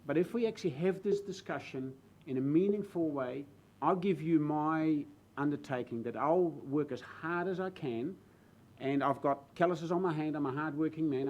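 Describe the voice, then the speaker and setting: A man from the Netherlands with a Dutch accent, a new councillor speaking earnestly in a council chamber, halting with fillers and restarts. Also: a little high, lo-fi sound. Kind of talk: speech in a council debate. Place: council chamber with public gallery.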